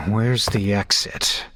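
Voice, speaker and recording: A man's voice: lowish voice, extremely slow, sharp tone, slightly rough, heavily acted. A man playing a shaken horror survivor, narrating a bloody escape in a grave, dramatic audio drama style. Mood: tense, wary.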